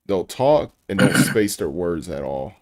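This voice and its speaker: A man's voice: low-pitched, sing-song, a little slow. A man bantering casually like a streamer reacting to videos, blunt, teasing and slangy, with some swearing.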